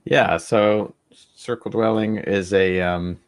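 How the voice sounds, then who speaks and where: A man's voice: fairly low pitch, fairly even intonation, slow pace, gravelly. A young man co-hosting a podcast, explaining an idea in a thoughtful, rambling way with many fillers and restarts. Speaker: podcast co-host. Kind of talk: casual podcast discussion.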